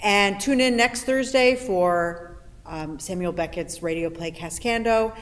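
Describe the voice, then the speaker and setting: A woman's voice: medium pitch, a little slow. A woman hosting a play reading series, giving a closing announcement to online viewers in an echoey hall with faint noise.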